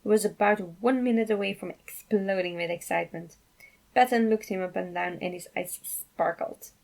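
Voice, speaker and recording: A woman narrating a piece of fan fiction in an expressive storytelling style. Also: medium pitch, moderate pace, creaky, rough recording.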